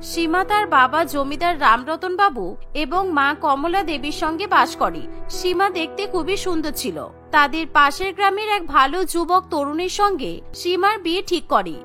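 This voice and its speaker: A woman narrating a cartoon story in a plain, steady storytelling way. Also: very high voice, measured pace, music in the background.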